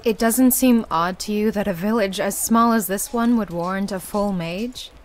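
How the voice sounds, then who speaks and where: A woman voicing a sharp-tongued young necromancer in a visual novel, skeptical and sardonic. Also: medium pitch, normal speed, close to an everyday voice. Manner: sardonic, probing.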